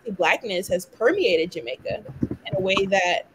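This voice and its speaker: A woman's voice: mid-range voice, very animated, moderate pace, fairly bright tone, a little hiss or hum, poor audio. A young woman from London and Miami speaking passionately in a conversational discussion, peppering her talk with "like" and "right?". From born in London, raised in Miami. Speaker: guest, activist.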